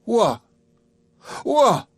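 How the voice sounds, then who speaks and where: A man doing a shrill sputtering angry rival. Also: very animated, extremely slow, a bit bright, slightly rough, no room sound, exaggerated voice. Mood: outraged. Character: angry, defeated male rival churchman.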